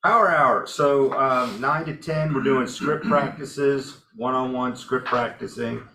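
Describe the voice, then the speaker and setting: A man's voice: mid-range voice, unhurried, pushed and bright. Practical man explaining a weekly session. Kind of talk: explaining in a meeting. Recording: echoey room, faint noise.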